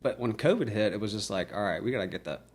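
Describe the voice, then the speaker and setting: A man's voice: fairly low pitch, lively intonation, fast talker, breathy edge. A man, a podcast guest who rambles and jokes with many restarts. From Middle Tennessee. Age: 40s. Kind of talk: casual chat.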